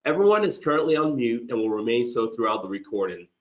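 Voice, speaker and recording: A man hosting a corporate webinar, a little hoarse as he loses his voice, talking conversationally with frequent restarts. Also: mid-range voice, big pitch swings, fast, highs cut off. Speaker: webinar host.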